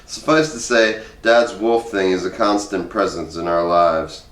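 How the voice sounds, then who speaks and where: A man's voice: deep voice, unhurried, fairly bright tone. A man talking casually and reading a humorous essay aloud in an informal, conversational way. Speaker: host reading aloud from a book. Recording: roomy echo, distant from the mic.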